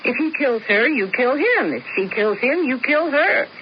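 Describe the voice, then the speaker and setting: Arch, scheming refined woman, radio mystery. Very animated, precise delivery over faint hiss. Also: high-pitched, moderate pace. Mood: coolly scheming. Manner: arch, precise, theatrical phrasing. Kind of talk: 1970s radio mystery acting. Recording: muffled, hissy old radio recording.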